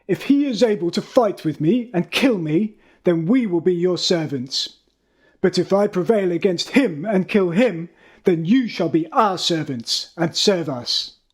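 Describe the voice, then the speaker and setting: Man playing a high, husky, defiant giant warrior. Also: animated, measured pace, exaggerated voice. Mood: defiant challenge. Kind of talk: dramatised Bible reading.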